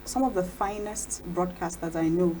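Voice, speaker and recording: A woman's voice: fairly low pitch, moderate pace, dark tone, slight background noise, poor audio. A Nigerian woman whose first language is Igbo, talking reflectively in a studio interview and often saying "you know". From southeast Nigeria. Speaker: interview guest, cultural storyteller. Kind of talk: casual interview talk.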